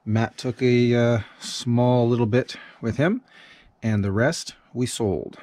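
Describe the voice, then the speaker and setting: A man's voice: medium pitch, steady tone, takes it slowly, a little mellow, no room sound. A man chatting casually while filming as a vlogger on a handheld camera. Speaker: vlogger filming a foraging trip. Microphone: handheld action camera.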